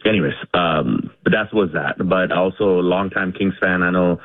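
A man from India in his forties calling into a sports radio show, rambling and repeating himself excitedly. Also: low voice, normal speed. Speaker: caller. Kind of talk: call-in radio chat. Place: home garage. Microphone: phone. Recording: tinny phone line.

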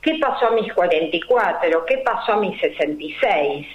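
An older woman with a Rioplatense Spanish accent, a numerology guest explaining her ideas slowly and deliberately, full of fillers and rhetorical questions. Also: on the low side, expressive. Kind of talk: explanatory interview talk. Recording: roomy, dull lo-fi recording.

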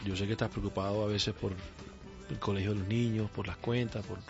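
A man's voice: fairly low pitch, level tone, fairly quick. A man with a Caribbean coastal Spanish accent, a guest singer in a radio interview, upbeat and reflective, laughing easily. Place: radio studio. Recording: slightly muffled radio studio with faint music.

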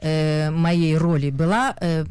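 Low-voiced woman with a Russian accent. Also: slow pace, a little mellow, smooth, no room sound, studio quality. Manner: thoughtful, searching for words with many fillers. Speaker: actress and interview guest. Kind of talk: candid personal interview.

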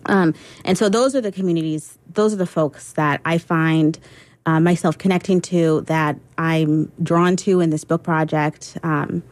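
A woman guest on a radio interview, a poet and teacher, answering reflectively with frequent pauses and restarts. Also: low-pitched, steady tone, a little mellow, no echo. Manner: reflective, hesitant, many ums and restarts.

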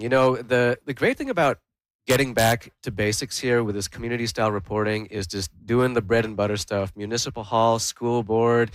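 Young male reporter, mid-low voice, eager and conversational, dry studio mic. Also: no echo. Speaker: news reporter. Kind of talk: radio interview.

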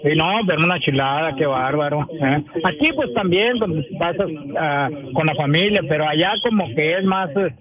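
A man with a northern Mexican accent phoning in to a radio show, speaking in a folksy, halting way and often checking he is understood, with radio sound behind him. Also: fairly high pitch, animated, muffled recording, a crowd in the background. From Durango, northern Mexico. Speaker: radio caller. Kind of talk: casual call-in chat. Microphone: phone call to a radio show. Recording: radio playing back behind the call.

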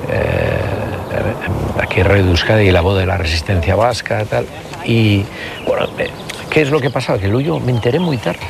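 A man's voice: fairly low pitch, big pitch swings, normal speed, vocal fry, noisy background. A man in his sixties from the Country, speaks as a politician guest in an interview, reflective and full of hesitations and restarts. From Basque Country, Spain. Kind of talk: conversational interview.

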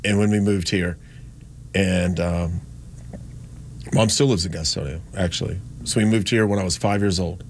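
A man's voice: sits low, steady tone, normal speed, long pauses, slight background noise. A man from North Carolina hosting a radio show, talking in a rambling, chatty way with frequent restarts and no strong accent. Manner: chatty, rambling, self-correcting. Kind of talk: casual on-air talk.

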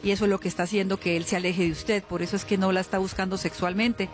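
A woman's voice: medium pitch, little pitch movement, quick pace. A woman hosting a radio call-in as a spiritual healer, speaking to callers in a familiar, consoling way. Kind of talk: live call-in psychic consultation and promotion. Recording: music bed behind voice.

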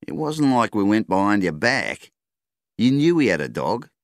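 A man's voice: fairly low pitch, very animated, normal speed, no echo, clean studio sound, pitched above the performer's own voice. A man reading a story aloud, voicing a brash, cocky male kangaroo shooter in a raised voice. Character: gruff rough-spoken male kangaroo shooter.

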